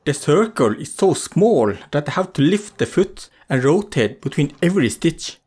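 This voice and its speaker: A man's voice: on the high side, big pitch swings, normal speed, darkish tone, husky. A man giving an explanatory voice-over for a craft tutorial. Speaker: craft vlogger narrating a tutorial.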